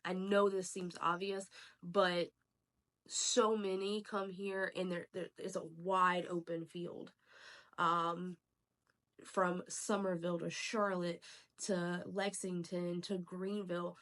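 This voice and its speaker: Slightly raspy, low-pitched woman vlogging. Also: level tone, slow pace, fairly bright tone. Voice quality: a little raspy. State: sick, slightly hoarse. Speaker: realtor and channel host. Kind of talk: casual talk to camera, advice. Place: at home, indoors.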